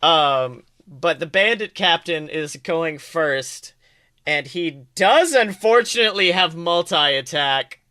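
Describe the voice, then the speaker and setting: Guy dming a tabletop game, higher voice, real clear, jokey and teasing, casual narration. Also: lively intonation, slow pace, pushed and bright. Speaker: game master, podcast host.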